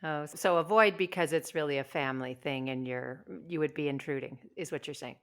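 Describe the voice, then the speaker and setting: A woman co-hosting a podcast, asking questions and reacting quickly in a casual interview chat. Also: sits low, a bit bright, clear, resonant tone. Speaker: podcast co-host.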